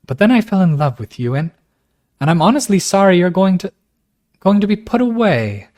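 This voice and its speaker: A man voicing a frank, impulsive young woman from a wealthy family, speaking high and fast. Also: sing-song, a little mellow. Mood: passionate. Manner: high, passionate, rushed.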